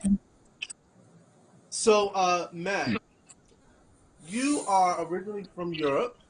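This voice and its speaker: A man hosting an online panel discussion in a halting way, repeating phrases, from a room at home on a video call. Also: high voice, heavy background noise. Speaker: host. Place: small room at home, indoors.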